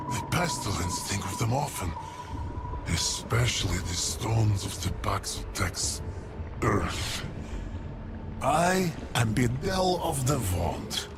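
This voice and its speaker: Casting: weary old plague-ridden game creature, creaky voice, solemn archaic delivery, long pauses. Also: male voice, fairly low pitch, very animated, full character voice. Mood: solemn, brooding. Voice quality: creaky, gravelly. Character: ancient weary plague-stricken Pan creature, solemn and brooding. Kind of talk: fantasy video game acting. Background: music.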